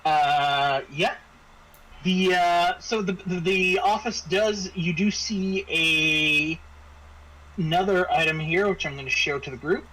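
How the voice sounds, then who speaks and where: A man's voice: high voice, takes it slowly, bright, forceful tone, poor audio. A man running a tabletop roleplaying game as game master, narrating off the cuff and often repeating and restarting his phrases. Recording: faint background noise.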